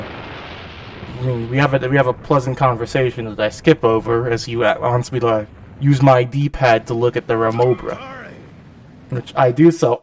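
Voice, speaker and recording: Guy with a cold narrating his game, nasally and kinda gravelly, jokey asides, mic a bit far off. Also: mid-range voice, slight background noise. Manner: chatty, self-deprecating, jokey asides. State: has a cold. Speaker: gaming channel host. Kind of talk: casual voice-over commentary on gameplay.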